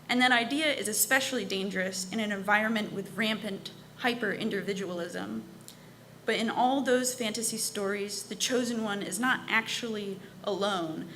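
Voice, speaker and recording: A young woman reading a prepared reflection at a church service in a measured, poetic way. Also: medium pitch, bright, forceful tone, slight background noise, poor audio. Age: young adult. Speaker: lay speaker at a church service. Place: church sanctuary.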